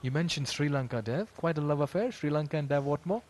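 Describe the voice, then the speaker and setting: A man's voice: a little high, quick pace, a little mellow. A man giving live cricket commentary mixed with casual chat. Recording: clear background noise, live ground ambience.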